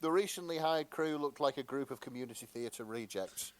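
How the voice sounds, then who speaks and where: Informal male podcast co-host, mid-pitched. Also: brisk, dry recording. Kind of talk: casual podcast chat.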